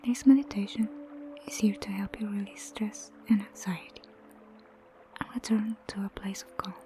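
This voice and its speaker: A woman guiding a meditation in a soft, breathy near-whisper, gentle and soothing, unhurried with long pauses. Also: mid-range voice, fairly even intonation, noisy background. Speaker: meditation guide. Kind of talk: guided meditation narration. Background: prominent music.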